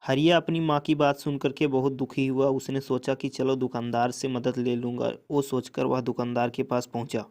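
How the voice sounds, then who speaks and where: A man reads a scripted moral tale as narrator. His voice is of medium pitch, and he tells it as a storyteller for children would. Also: little pitch movement, moderate pace, hardly any pauses, darkish tone.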